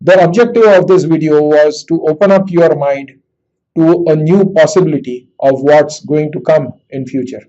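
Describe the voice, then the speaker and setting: A man with an Indian accent demonstrating dictation software, speaking slowly and deliberately as if to a computer. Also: on the high side, highs cut off. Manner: slow, deliberate dictation with spoken punctuation. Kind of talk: screen-recorded software tutorial with dictation.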